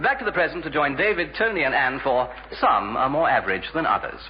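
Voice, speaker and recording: Man acting a brisk, polished radio announcer. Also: medium pitch, lively intonation, close to an everyday voice. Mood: announcing. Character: suave, persistent male radio interviewer and announcer. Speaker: announcer and interviewer. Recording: dull old radio broadcast, loud music.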